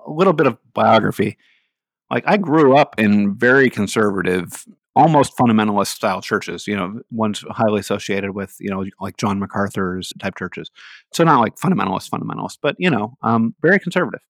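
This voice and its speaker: Man from hawaii and seattle, low voice, rambling and searching for words, dry close sound. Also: lively intonation, fairly quick, no echo. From Hawaii and Seattle, USA. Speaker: podcast co-host. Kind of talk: casual podcast conversation.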